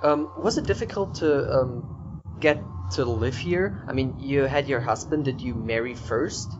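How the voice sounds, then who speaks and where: A man hosts an informal interview podcast. His voice sits at a medium pitch, and he addresses listeners and guest in an upbeat, conversational way. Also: a little slow, muffled recording, noisy background.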